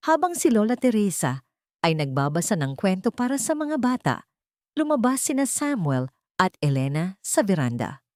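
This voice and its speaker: A woman narrating a scripted audio drama in an expressive, emotive storytelling manner. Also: medium pitch, no room sound, studio quality.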